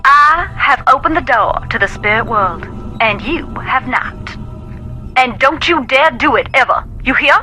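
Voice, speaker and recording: Southern lady medium in a video game, intense n folksy, sharp higher voice, spooky storyteller delivery. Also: lively intonation, a little slow, faint music behind, heavily acted, poor audio. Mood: stern warning. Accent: Southern American. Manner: folksy, dramatic, emphatic. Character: folksy, intense woman ghost-seer, knowing and haunted.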